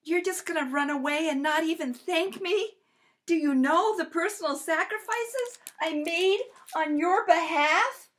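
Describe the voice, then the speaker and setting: A woman acts out a big, bumbling storybook spider with a very high voice. It is eager and easily aggrieved, exclaiming theatrically and stretching words out slowly. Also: fairly bright tone. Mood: indignant. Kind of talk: children's picture-book read-aloud.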